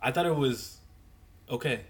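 A man's voice: medium pitch, normal speed, breathy edge. A young man in his twenties co-hosting a podcast, joking and teasing his co-host in casual banter. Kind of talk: casual chat.

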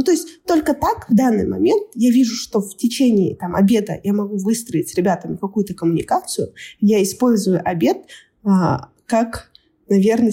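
A woman's voice: mid-range voice, mellow, slightly rough. A woman from Kazakhstan, a guest agile coach, chatting animatedly in an interview with frequent laughs and fillers. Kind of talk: casual interview chat.

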